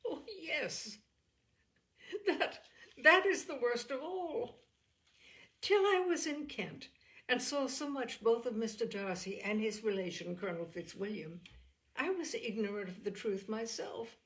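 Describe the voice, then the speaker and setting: A woman reading as an earnest young Regency gentlewoman. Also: fairly high pitch, a little slow. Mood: regretful, emphatic. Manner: emphatic, formal, animated.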